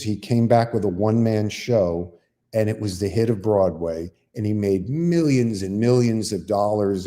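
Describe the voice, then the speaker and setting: An older man with a fairly low, dark, mellow voice talks in a relaxed, chatty way. He drifts through long, self-deprecating stories, one after another. The sound is of a comedian trading stories with a co-host. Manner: rambling anecdotal storyteller, self-deprecating. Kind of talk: casual chat and storytelling.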